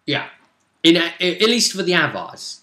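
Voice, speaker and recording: Casting: male tabletop game master, highly varied intonation, casual delivery, echoey room. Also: big pitch swings, moderate pace, bright, forceful tone. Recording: roomy, echoing room sound.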